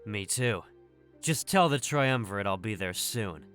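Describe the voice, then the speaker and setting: A man's voice: fairly low pitch, lively intonation, unhurried, fairly bright tone. A man voicing a confident, vengeful young apprentice warrior in a visual novel. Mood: defiant. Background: game music.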